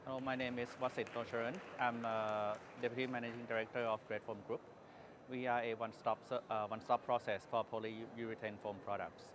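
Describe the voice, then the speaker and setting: A man's voice: mid-range voice, little pitch movement, quick pace, noisy background. A man in his thirties with a Thai accent, a company executive answering interview questions at an exhibition booth, hesitating often with fillers and restarts. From Thailand. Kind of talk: trade show interview. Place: exhibition hall booth, indoors.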